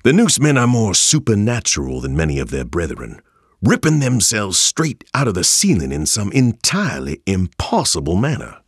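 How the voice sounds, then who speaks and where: Deep, husky, ominous monster chronicler. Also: male voice, wildly swooping pitch, no room sound, studio quality, exaggerated voice. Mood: grim fascination. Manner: ominous, erudite, deliberate phrasing. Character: grave learned man narrating his monster journal. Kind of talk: scripted dramatic game narration.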